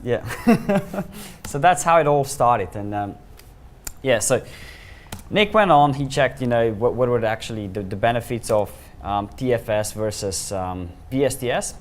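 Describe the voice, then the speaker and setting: A man's voice: mid-range voice, expressive, measured pace. Man, slovenian accent, presenter telling a story, chuckling, faint background noise. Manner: storytelling, chuckling, filler-heavy. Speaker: solution architect presenter. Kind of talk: conference talk. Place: meeting room with audience.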